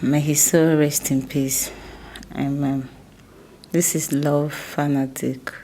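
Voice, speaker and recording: An actress playing a bereaved sister, telling her story in a solemn, mournful, deliberate way in a film drama. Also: sits low, little pitch movement, warm tone, a little hiss or hum. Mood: sorrowful, solemn.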